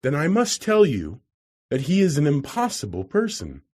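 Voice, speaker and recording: A woman's voice: low voice, huge pitch swings, moderate pace, a little mellow, slightly rough, pitched above the performer's own voice, full character voice. A man putting on a fretful anxious wife. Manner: worried, pleading. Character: nervous fretful middle-aged wife, anxious.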